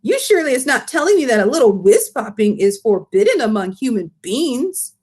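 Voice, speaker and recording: Woman as excitable old giant man, storybook character, indignant, garbled grammar, very animated intonation. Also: a little high, brisk, husky. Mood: gleeful. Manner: mangled grammar and invented nonsense words. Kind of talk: storybook read-aloud character voice.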